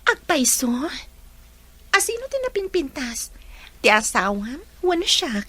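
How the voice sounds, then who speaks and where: Actress as stubborn emotional Ilocano woman, high pitch, sharp bright tone, radio drama. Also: sing-song, a little slow, full character voice. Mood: wistful, hurt.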